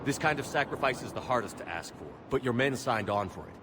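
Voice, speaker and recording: A man's voice: mid-range voice, animated, fast talker, close to an everyday voice. A man playing a tough, resolute human navy commander in a sci-fi game, authoritative and clipped. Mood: stern, urging. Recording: clear vehicle and ambient noise behind.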